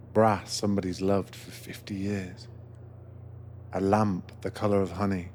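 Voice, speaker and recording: Male, low-pitched and very slow, for gentle ASMR roleplay as a soothing train attendant. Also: slight background noise. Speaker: narrator playing a sleeper train attendant. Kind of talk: ASMR guided meditation roleplay, scripted narration.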